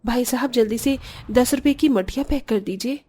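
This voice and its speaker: Woman acting earnest young mother, fairly high voice. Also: a little fast, warm tone, slightly rough. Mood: caring, hopeful. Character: poor, hardworking young widowed mother, earnest and caring. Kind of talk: cartoon story dialogue.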